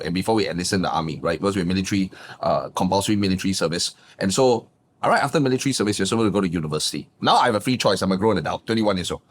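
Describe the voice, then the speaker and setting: A man, a guest business coach telling his backstory in a lively, emphatic way. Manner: animated, emphatic, swings pitch up for punchlines. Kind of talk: casual interview storytelling.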